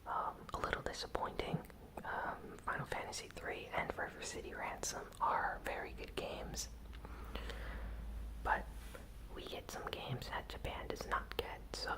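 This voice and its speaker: Whispered voice, ASMR news host, soft delivery, gentle pauses, breath-only speech. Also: poor audio. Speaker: host of a gaming news channel.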